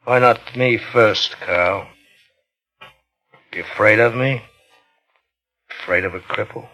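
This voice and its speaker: A sharp, defiant, taunting man. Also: on the low side, slow, heavily acted, poor audio. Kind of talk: 1950s radio suspense drama acting. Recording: vintage 1950s radio recording.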